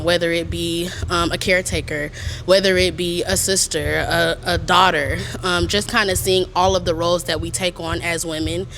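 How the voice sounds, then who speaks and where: A young woman chiropractor, speaking earnestly on a panel with frequent pauses. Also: on the low side, fairly bright tone. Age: young adult. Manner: earnest, filler-heavy, deliberate. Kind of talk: panel talk.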